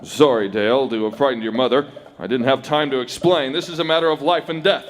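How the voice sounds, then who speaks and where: A man's voice: mid-range voice, fairly even intonation, brisk, a little hiss or hum, natural voice. An actor playing a commanding, resolute masked cowboy lawman in 1930s radio western style, speaking in urgent, emphatic orders. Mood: firm, insistent. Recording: reverberant hall, live stage performance.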